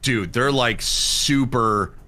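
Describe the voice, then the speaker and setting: A man with a deep, smooth voice, a guest on a livestream, talking in an animated way full of fillers. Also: slow. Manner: animated, filler-heavy talk. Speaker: guest streamer. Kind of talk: casual chat.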